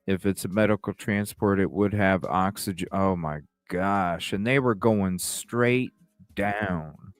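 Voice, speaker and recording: A man hosting a livestream with casual, halting reaction commentary, often repeating himself. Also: low-pitched, clean studio sound. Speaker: livestream host. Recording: roomy, music playing behind. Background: background music.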